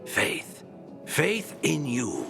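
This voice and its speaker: Fervent older flatterer, higher voice, very animated and theatrical, game character. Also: male voice, very slow, gravelly, music in the background, exaggerated voice. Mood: fervent, flattering. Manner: theatrical, emphatic. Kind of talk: video game voice acting.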